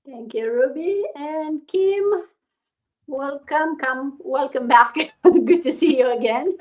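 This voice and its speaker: A woman's voice: high-pitched, slow. A woman hosting a live online stream, greeting guests with fillers between phrases. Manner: warm greetings with frequent fillers. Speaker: host. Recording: slightly dull stream audio.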